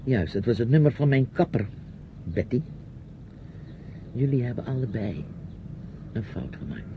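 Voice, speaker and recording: A man's voice: medium pitch, very animated, a little slow, background noise. An actor playing a reproachful, patronising uncle questioning his niece in a radio play. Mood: probing, accusing. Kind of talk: radio drama acting. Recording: dull old radio play recording, music.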